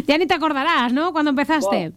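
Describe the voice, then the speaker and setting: Una mujer manchega con acento de La presenta un programa matinal de radio. Su voz es alta y clara. Bromea sin parar con quien llama. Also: female voice, high-pitched. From La Mancha, Spain. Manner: chatty, teasing, laughs often. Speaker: radio host. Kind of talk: morning radio birthday calls.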